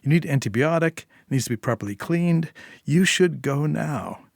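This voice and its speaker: A man voicing an anxious young mother who is a nurse in an audio story, giving brisk, insistent instructions. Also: on the high side, lively intonation, vocal fry, no echo, studio quality, voice pushed up.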